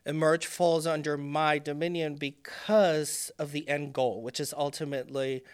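Smooth-voiced Puerto Rican-born man. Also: a little high, fairly even intonation, moderate pace. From Puerto Rico. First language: Spanish. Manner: thoughtful, many ums and restarts. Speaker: guest, fashion designer. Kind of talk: casual interview talk.

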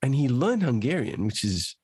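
A man's voice: mid-range voice, big pitch swings, normal speed, darkish tone. A man chatting as a podcast guest, eager and enthusiastic, often calling people "man" and "dude". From Australia. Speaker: guest musician. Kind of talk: casual conversation.

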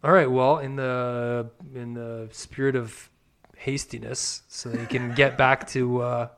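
A man co-hosting a podcast, talking casually in a measured way with short, supportive replies. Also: medium pitch, level tone, a bit bright. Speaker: podcast co-host. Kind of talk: casual chat.

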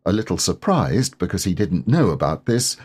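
A man reading a children's story aloud as narrator, in a measured, careful and expressive way. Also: sits low, husky. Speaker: audiobook narrator, voice actor.

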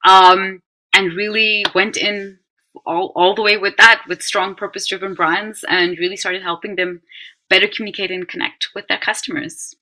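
A woman speaks with a bright, sharp tone. She is an emphatic, animated podcast guest who explains things in quick run-on lists. Her pitch sits in an ordinary middle range. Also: rough recording. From Netherlands. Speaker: guest, brand strategy consultant. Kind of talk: podcast interview.

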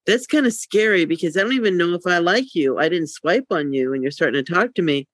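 A woman's voice: fairly low pitch, lively intonation, fast talker, no echo. A middle-aged woman podcast co-host chatting casually in a candid, rambling way. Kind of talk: casual chat.

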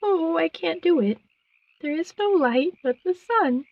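A woman's voice: high voice, expressive, normal speed, dry recording, distant mic, lo-fi sound. A woman voicing a sweet, devoted young moth in a storybook tale, with an earnest, chirping delivery. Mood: distressed, timid. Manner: chirping, earnest, breathless sighs.

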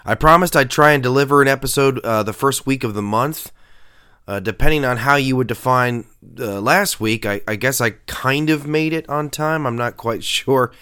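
A man's voice: mid-range voice, normal speed, sharp tone, clear, resonant tone. A man who pastors and hosts a faith podcast, talking in an earnest, emphatic way with a nasal voice and frequent filler sounds. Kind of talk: podcast sermon talk.

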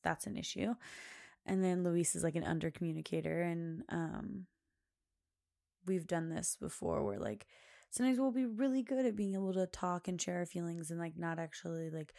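A woman hosts her own podcast, talking loosely and at length in a loud voice that carries. Her tone sits low, with a gravelly fry, and it sounds dry and close. She rambles through fillers and laughs often. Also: moderate pace, dry recording. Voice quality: loud, carrying voice. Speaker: podcast host. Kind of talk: casual chat.

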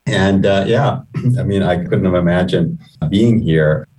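Low-voiced middle-aged man, slightly distant. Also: measured pace, darkish tone. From Guyana, moved to the US at eleven. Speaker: chief executive, interview guest. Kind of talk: reflective interview conversation.

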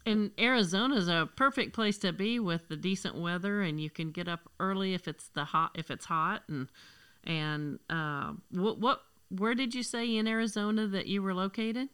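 A woman's voice: medium pitch, moderate pace, fairly bright tone, smooth. A woman co-hosting an interview, chatty and enthusiastic. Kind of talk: casual chat.

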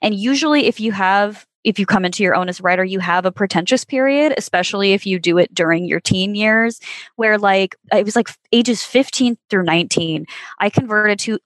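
A woman's voice: medium pitch, fairly quick, no echo. A woman in her twenties talks through her memories on a podcast in a thoughtful, reflective way. Her voice is close and dry, with no room sound around it. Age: 20s. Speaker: podcast guest, former child actor. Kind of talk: casual podcast conversation.

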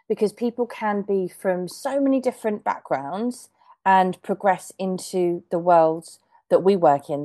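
A woman's voice: mid-range voice, mellow. Enthusiastic woman hosting a podcast. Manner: enthusiastic, chatty, quick to praise. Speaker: podcast host. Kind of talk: interviewing a guest.

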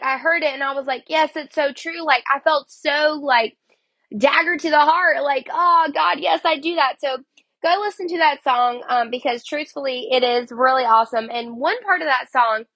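A woman's voice: high voice, a bit bright, dry recording. A woman with a cold, sounding sniffly, hosting a casual devotional podcast in a chatty way, saying "like" a lot. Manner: chatty, heavy use of "like". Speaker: podcast host.

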